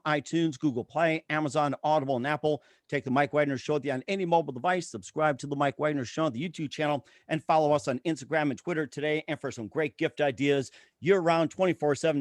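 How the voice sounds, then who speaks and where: A man's voice: fairly high pitch, fairly quick, hardly any pauses, no room sound, clean studio sound. A man hosting a talk show, reading plugs and introductions in an announcer-like way with stock phrases. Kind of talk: promotional show host talk, ad reads and guest introduction.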